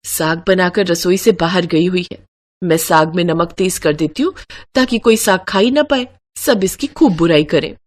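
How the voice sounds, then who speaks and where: A woman's voice: lowish voice, normal speed, lightly acted. A woman voicing a jealous, scheming elder sister-in-law who talks in a curt, resentful mutter.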